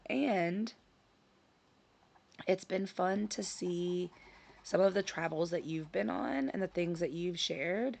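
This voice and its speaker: A woman hosting her own vlog in casual chat, a little hoarse from a scratchy throat, talking with frequent ums and self-corrections. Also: fairly low pitch. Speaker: channel host. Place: her home in Sicily.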